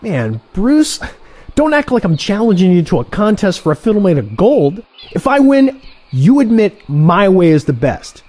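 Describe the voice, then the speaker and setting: Casting: male actor for brash younger assassin, audio drama, soft-edged timbre, very animated intonation, needling and sarcastic. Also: high voice, normal speed. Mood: challenging. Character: excitable, cocky younger assassin, male trainee turned rival. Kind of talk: audio play dialogue.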